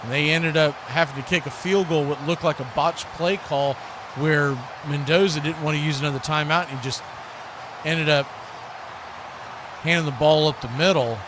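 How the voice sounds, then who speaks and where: A man's voice: a little high, steady tone, normal speed, background noise. An American man working as a sports host and play-by-play commentator on a live football watch party.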